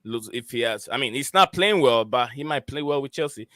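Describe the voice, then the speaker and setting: Man, stream host, bright tone, smooth voice, hesitant delivery with fillers. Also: mid-range voice, expressive, a little fast, no room sound, studio quality. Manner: hesitant, lots of uh and um, reads out chat comments. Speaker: host of a football podcast stream. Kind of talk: casual live-stream chat.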